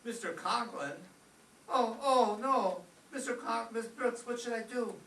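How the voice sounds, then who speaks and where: An actor playing a mischievous, excitable high school boy with gee-whiz eagerness in an old radio comedy. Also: measured pace. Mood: panicked. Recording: echoey stage, distant mic, faint noise.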